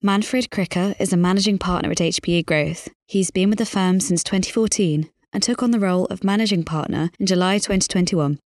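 Low-voiced woman hosting a podcast. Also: brisk, hardly any pauses, darkish tone, no echo, studio quality. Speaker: podcast host. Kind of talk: scripted podcast introduction and interview.

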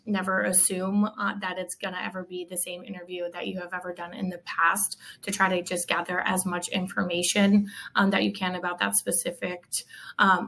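A woman's voice: mid-range voice, creaky, fairly bright tone. A woman speaking as a guest career adviser, offering conversational tips in short, hesitant phrases. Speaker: staffing professional giving advice as a guest. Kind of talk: conversational interview advice.

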